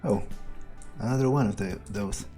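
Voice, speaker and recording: A man's voice: fairly low pitch, normal speed, warm tone, soft background music. A man roleplaying through a video game, thinking aloud in a hesitant, sardonic, sometimes profane way. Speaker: game player roleplaying a character.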